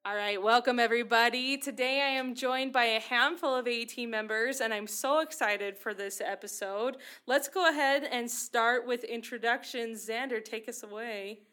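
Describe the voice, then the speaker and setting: A woman's voice: on the high side, steady tone, moderate pace, hardly any pauses, sharp tone. A woman hosting a student podcast, speaking in an enthusiastic, encouraging way. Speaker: podcast host.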